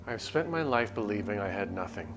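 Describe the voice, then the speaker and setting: A man livestreaming a game, chatting casually and reading story text aloud in a measured, literary way. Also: lowish voice, lively intonation. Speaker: game streamer. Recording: background music under the voice. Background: music.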